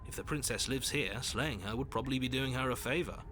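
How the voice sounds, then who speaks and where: An actor voicing a stern, impatient narrator in a video game, with a measured, authoritative delivery. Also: male voice, medium pitch, music in the background, lightly acted. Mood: measured, grave. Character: stern male narrator, commanding, impatient, dryly insistent. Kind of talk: scripted second-person game narration.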